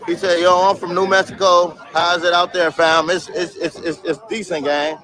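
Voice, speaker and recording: A young man with a high, bright, ringing voice talks loosely to his stream chat, full of slang and swearing. He reads viewer comments aloud and laughs them off while out on a city street, talking into a phone held at arm's length. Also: little pitch movement, normal speed, some chatter behind. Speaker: livestreamer. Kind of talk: casual livestream chat reading viewer comments.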